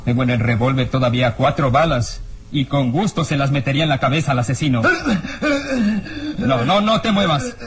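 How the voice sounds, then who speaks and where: An actor playing a hard-nosed, uneasy police inspector in a radio serial, with a theatrical, menacing way of questioning. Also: male voice, medium pitch, brisk, noisy background, natural voice. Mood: taunting, menacing. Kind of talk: old radio serial acting. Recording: radio drama with music underneath.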